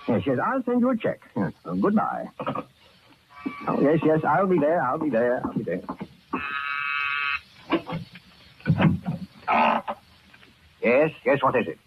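A man's voice: a little high, sing-song, normal speed. An actor playing an outwardly genial but sinister old man in an old radio thriller, fussy, hesitant and repeating himself. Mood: fussily affable. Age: older. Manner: fussy, repetitive, hesitant, chuckling. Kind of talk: 1930s-40s radio thriller acting. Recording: muffled old radio recording with faint noise.

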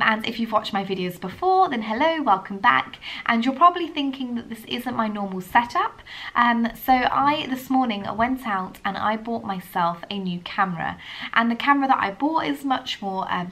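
A woman's voice: on the high side, fairly bright tone. A woman in her twenties with a stuffy nose from a cold, chatting casually to camera in her living room, a little unsure and saying "um" often. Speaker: vlogger, young mum. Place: living room at home, indoors. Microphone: camera on a table in front of her.

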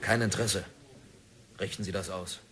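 A man's voice: sits low, steady tone, moderate pace, fairly bright tone. A man playing a stubborn, indebted prospector in a 1960s radio drama, curt and defiant.

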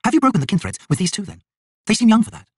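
Man putting on a high, mocking young sorceress. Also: sing-song, very fast, a little mellow, breathy edge, no echo, studio quality, distant mic, full character voice. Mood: cool, mocking. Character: young predatory female shaman, coolly mocking.

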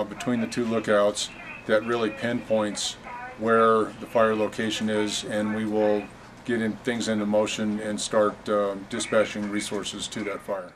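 A man in his fifties explains his workplace in a matter-of-fact, halting way. His voice is on the low side and very flat in melody. He is recorded in an office with clear air-conditioning noise behind him. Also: low-quality recording. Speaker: fire staff officer. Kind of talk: informal on-camera explanation of a workplace. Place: busy open dispatch office, indoors.

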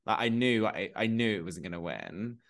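A man's voice: fairly low pitch, moderate pace, gravelly. A man, chatting casually and expressively on a video call from home. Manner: expressive, opinionated, gestures while talking. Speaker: co-host. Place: home room.

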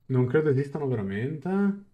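A young man streaming a game, translating dialogue aloud in a halting, casual reaction. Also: mid-range voice, sing-song, muffled recording. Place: small room indoors, on webcam.

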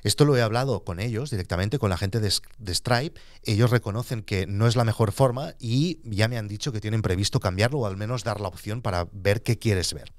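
A man in his forties, hosting a podcast, calmly and methodically explaining how his business handles money. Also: on the low side, brisk, few pauses, no echo. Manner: calm, methodical, explains terms as he goes. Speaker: podcast host and entrepreneur. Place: small studio in front of a blue backdrop. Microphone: studio microphone on a boom arm.

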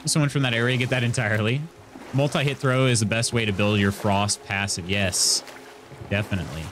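A man's voice: lowish voice, fairly even intonation, fairly quick, fairly bright tone, a little hiss or hum. A man in his thirties with an Indiana Midwestern accent, chatting casually while playing and reading chat. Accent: Midwestern American, Indiana. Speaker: streamer. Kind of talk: casual gameplay commentary and chat. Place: small room at home, indoors. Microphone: studio mic on arm near face.

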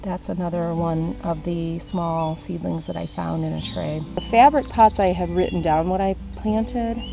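A woman's voice: lowish voice, steady tone, measured pace, creaky, over music. A young woman casually walking through her backyard vegetable garden outdoors, chatting as she points out plants to a handheld camera. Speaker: gardening vlogger.

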